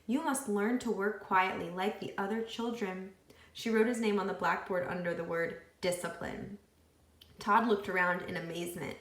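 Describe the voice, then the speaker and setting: Woman reading a children's story, slightly gravelly. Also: mid-range voice, roomy sound, poor audio. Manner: animated, deliberate phrasing with acted dialogue. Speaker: read-aloud storyteller. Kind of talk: expressive children's book read-aloud.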